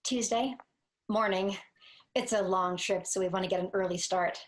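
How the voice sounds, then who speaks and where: A woman's voice: mid-range voice, moderate pace, close to an everyday voice. A woman acting in a superhero audio drama.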